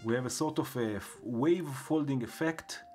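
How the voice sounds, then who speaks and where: A man with an Israeli accent, hosting a live stream and thinking aloud as he builds synth patches, with filler words and pauses. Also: medium pitch, darkish tone. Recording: music playing under the voice.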